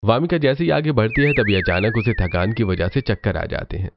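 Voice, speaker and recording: Low-pitched man narrating a cartoon story. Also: brisk, creaky, muffled recording, a little hiss or hum.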